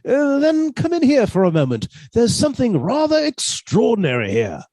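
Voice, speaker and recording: A man's voice: very high voice, wildly swooping pitch, normal speed, a little mellow, dry recording, clean studio sound, exaggerated voice. A man playing a henpecked husband turned murderer in a radio suspense drama, fussy, precise and politely menacing. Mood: nervous, coaxing.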